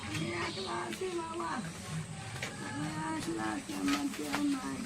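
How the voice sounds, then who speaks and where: A woman's voice: high voice, steady tone, slow, gravelly. An elderly woman talking casually and plaintively, repeating her phrases over and over. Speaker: destitute villager receiving aid. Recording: very noisy handheld vlog audio.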